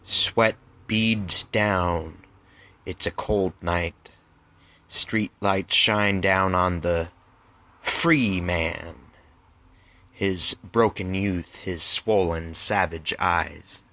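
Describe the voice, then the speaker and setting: A man of thirty presents his own writing on a podcast. His voice is fairly low and bright, and he takes his time with a very slow, deliberate delivery. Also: fairly even intonation, dry recording. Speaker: writer reading and explaining own work on podcast. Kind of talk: literary reading mixed with casual explanation.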